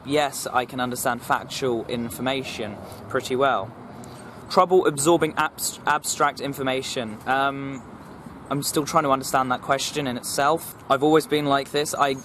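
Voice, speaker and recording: A young man from England, talking earnestly to camera in a personal vlog. He speaks in a formal, measured way and often repeats himself and restarts sentences. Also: medium pitch, slight background noise. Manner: formal, even delivery. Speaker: vlogger.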